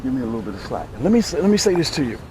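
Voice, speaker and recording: A man's voice: mid-range voice, sing-song, very fast, noisy background. A man hosting a fishing show outdoors, chatting in an exclamatory way and repeating his phrases for emphasis as he demonstrates tackle. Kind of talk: excited fishing vlog chat, demonstrating and selling tackle.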